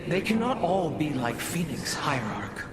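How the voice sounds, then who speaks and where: An actor playing a wary, insistent alien adviser in a video game cutscene, formal and admonishing. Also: male voice, on the high side, sing-song, unhurried. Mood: warning. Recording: very noisy game scene ambience.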